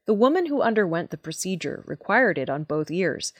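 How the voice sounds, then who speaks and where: A woman's voice: lowish voice, sing-song, brisk, breathy edge, dry recording, studio quality. A woman presenting scripted news narration, reading as a news presenter.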